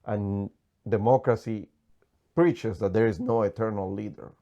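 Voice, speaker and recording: A man's voice: lowish voice, steady tone, measured pace, dark tone. Passionate Colombian-accented man, dull lo-fi sound. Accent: Colombian Spanish. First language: Spanish. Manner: passionate, emphatic, non-native phrasing. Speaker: guest economist. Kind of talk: candid political interview.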